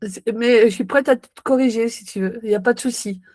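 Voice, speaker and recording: A woman's voice: on the high side, fairly quick, darkish tone, no echo. A woman in an online study group who stammers and apologizes as she offers corrections, laughing between phrases. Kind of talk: casual video-call chat.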